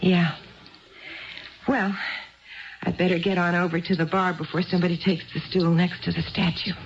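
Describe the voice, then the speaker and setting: An actress in a 1970s radio drama playing a troubled, tipsy wife who confides earnestly and ramblingly in a bartender. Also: female voice, on the low side, little pitch movement, moderate pace, very noisy, lightly acted. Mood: earnest, confiding. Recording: dull 1970s radio broadcast recording.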